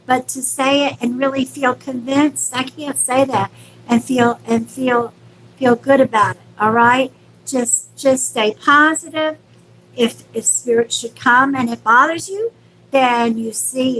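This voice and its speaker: A woman's voice: high voice, a bit bright, a little hiss or hum, poor audio. A woman hosting a live stream as a psychic medium, speaking casually and affectionately to viewers with reassurance and endearments.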